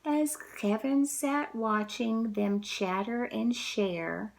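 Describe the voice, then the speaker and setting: A woman reading a picture book aloud to children, with deliberate, dramatic, expressive storytelling. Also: fairly high pitch, creaky, background noise.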